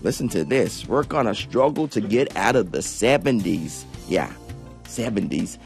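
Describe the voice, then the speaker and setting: A male meteorologist delivers the weather in a friendly, chatty radio style. His voice sits a little low, with faint music in the background of the studio. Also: expressive, measured pace, vocal fry. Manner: upbeat and chatty. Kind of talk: casual radio weather report.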